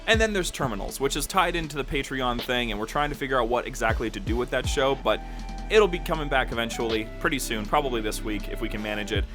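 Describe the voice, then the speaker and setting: A male podcast host gives a conversational update to his audience over prominent background music. His voice sits at an average pitch. He sounds enthusiastic and informal, leaning on key words for emphasis. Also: expressive, quick pace. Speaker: podcast host and producer giving a channel overview. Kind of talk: upbeat, conversational channel update to fans.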